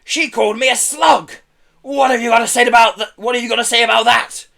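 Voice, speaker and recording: A man's voice: very high-pitched, steady tone, fairly quick, creaky, bright, forceful tone, voice pushed up, full character voice. A man voicing a furious, bullying villainous wife in a children's story, screeching and barking orders at her husband. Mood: bullying fury. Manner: domineering, barking commands. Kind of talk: children's story read aloud.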